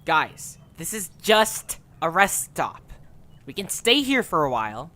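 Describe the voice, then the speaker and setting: A woman's voice: lowish voice, big pitch swings, slow, pushed and bright, full character voice. A woman voicing an impatient, skeptical young leader of a creature band in an amateur audio story. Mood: firm. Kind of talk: amateur audio drama acting.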